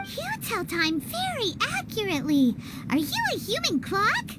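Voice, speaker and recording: A woman voicing a small, cheeky, childlike fairy companion in a video game, with a squeaky voice and exclaiming manner. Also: huge pitch swings, moderate pace, very noisy, exaggerated voice. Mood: teasing. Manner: refers to self by name, exclaiming. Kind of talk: animated game voice acting.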